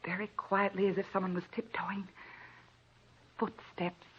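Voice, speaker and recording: An actress playing a frightened, anxious wife writing in her notebook, with tremulous, halting delivery in a 1940s radio thriller style. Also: mid-range voice, little pitch movement, husky. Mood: tense, terrified. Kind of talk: 1940s radio thriller acting, narrated diary. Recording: muffled, noisy old radio broadcast recording.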